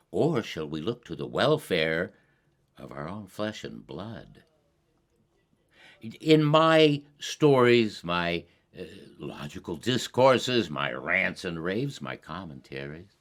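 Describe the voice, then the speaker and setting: Slow, low-voiced older man hosting. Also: a bit bright. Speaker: host of a community climate show. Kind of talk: scripted spoken introduction.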